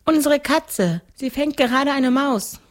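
A woman's voice: high-pitched, slow pace, voice pushed up. A woman voicing a frank, curious and helpful seven-year-old girl in a children's storybook. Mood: decisive. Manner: frank, matter-of-fact.

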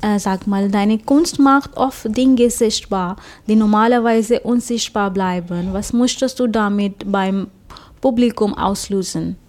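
A woman's voice: mid-range voice, measured pace, a little mellow. A woman interviewer asking questions in a conversational style.

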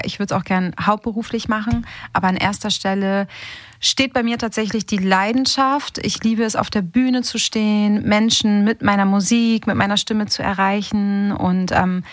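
A woman's voice: mid-range voice, no room sound. Casting: female singer as interview guest, mid-range voice, casual and keen, recorded very dry. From Hamburg, Germany. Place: radio studio. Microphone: studio microphone.

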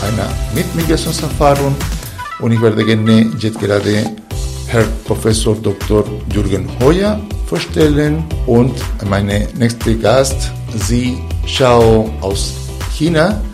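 A man hosts a radio talk show from a studio, introducing his guests formally. He speaks slowly and haltingly, stopping and restarting his sentences, at a middle pitch for a man. Faint music plays quietly behind him.

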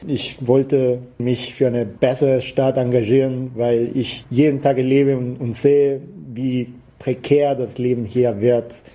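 Male interviewee, activist from Costa Rica, Latin American Spanish accent, halting delivery, notably soft dark timbre. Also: mid-range voice, level tone, rough recording. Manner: halting, searching for words. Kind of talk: interview answers.

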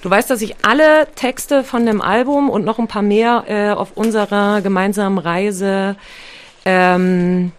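A woman's voice: medium pitch, fairly even intonation, unhurried, smooth. A young German woman chatting casually as a co-host, self-deprecating and full of fillers. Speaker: co-host, songwriter in a band. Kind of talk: casual radio chat.

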